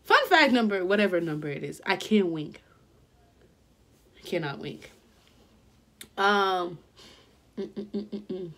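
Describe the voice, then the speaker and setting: A young woman from Nigeria chatting casually to her camera in a small bedroom. She is playful, tells stories with sudden jumps in pitch, and drops into whispers for her asides. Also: sing-song, unhurried, long pauses, a bit bright. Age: young adult. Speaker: vlogger.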